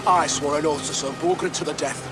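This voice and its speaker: A male actor as an honourable, beaten Saxon thane. He sounds proud, weary and solemn, speaking haltingly over prominent game-score music. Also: fairly high pitch, fairly even intonation, lightly acted. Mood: resigned, defiant. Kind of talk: dramatic video game acting. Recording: dramatic music behind the voice.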